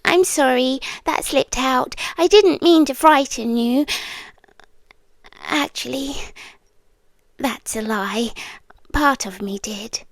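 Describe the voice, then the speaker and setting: Slow, whispery British demon girlfriend, played by a woman. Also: high voice, no echo. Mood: softly menacing. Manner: breathy whispering, halting, drawn-out delivery. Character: possessive yandere demon girlfriend, eerily tender and menacing. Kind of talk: ASMR roleplay. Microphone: close, dry ASMR microphone.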